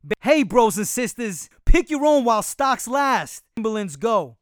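High squeaky chimp rapper type, slangy, smooth voice, super boastful. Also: male voice, sing-song, unhurried, no echo, studio quality, heavily acted. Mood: hyped. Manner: slangy street talk, boastful salesman patter. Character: swaggering hip-hop male chimp gang leader. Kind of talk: audiobook character voice.

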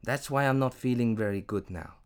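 A man's voice: medium pitch, a little fast, clear, resonant tone, lightly acted. A man voicing an earnest, self-doubting male eagle in a storybook, speaking in a hesitant, confiding way. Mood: confessing, ashamed.

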